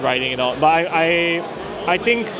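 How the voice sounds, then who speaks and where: Here is a relaxed man from Singapore, joking about his matches and pausing often to think. His voice runs a little higher than most men's. Heavy crowd chatter fills the space behind him. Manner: relaxed, joking, many ums and uhs. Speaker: tournament player being interviewed. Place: busy card game tournament venue. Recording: busy crowd noise behind.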